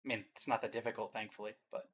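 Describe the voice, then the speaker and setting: A man's voice: fairly low pitch, level tone, very fast, a bit bright, breathy edge. Casual man hosting a reaction video. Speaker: video host. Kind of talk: casual reaction chat and ranking.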